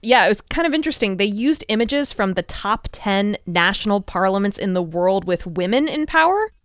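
A woman's voice: mid-range voice, lively intonation, normal speed, no echo, clean studio sound. A woman reporter explaining research in a conversational podcast style.

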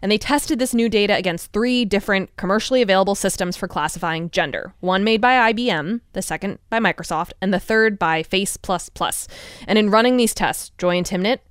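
Woman, mid pitch, podcast reporter, conversational explainer, dry sound. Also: a little fast, clear, resonant tone, no room sound.

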